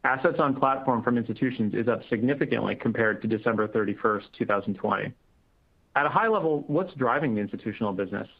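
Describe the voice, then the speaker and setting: A man's voice: mid-range voice, fast, vocal fry, husky. A man acting as moderator, reading scripted investor questions in a formal, businesslike way. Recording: narrow tinny phone-line conference call.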